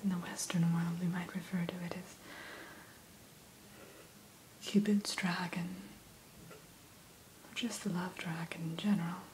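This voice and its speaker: A woman whispering softly and slowly into a close microphone, hosting an ASMR roleplay as a dragon hatchery keeper and telling the listener about each dragon. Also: on the low side, little pitch movement, slight background noise, low-quality recording. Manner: soft, slow unvoiced whisper; gentle, storytelling tone addressing the listener.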